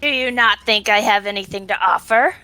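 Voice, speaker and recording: A woman's voice: medium pitch, a little fast, pushed and bright, faint music behind. A woman voicing a sly, scheming red demon woman with hooves and horns, in a tabletop game.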